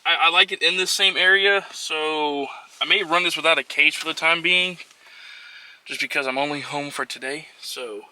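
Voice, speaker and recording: A man's voice: fairly high pitch, fairly even intonation, normal speed, pushed and bright, slight background noise, low-quality recording. A man talking informally as he works through a hands-on installation, chatting to viewers in a casual how-to vlog style and thinking aloud. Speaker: vlogger and trucker showing a DIY install.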